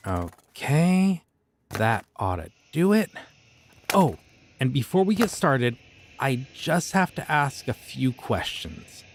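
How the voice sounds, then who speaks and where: A man voicing a friendly but creepy young occult shopkeeper in an audio roleplay, talking in a casual, easygoing way about dark rituals. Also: mid-range voice, very animated, slow pace, a little hiss or hum. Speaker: voice actor.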